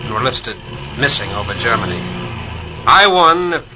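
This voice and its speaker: A man's voice: mid-range voice, animated, moderate pace, noisy background, lightly acted. An actor playing a wounded airman who survived, proud and haunted, earnestly speaking dramatic verse in 1940s radio style. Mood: grave, haunted. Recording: old 1940s radio broadcast recording. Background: musical score.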